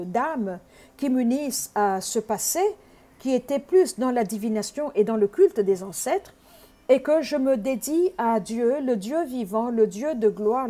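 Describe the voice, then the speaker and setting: A woman's voice: a little high, warm tone. A woman from Congo preaching an earnest sermon, teaching with frequent hesitations.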